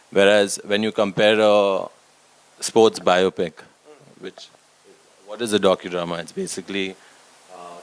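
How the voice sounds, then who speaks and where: A man's voice: sits low, poor audio. A man, a documentary filmmaker answering interview questions conversationally. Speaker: documentary director and writer.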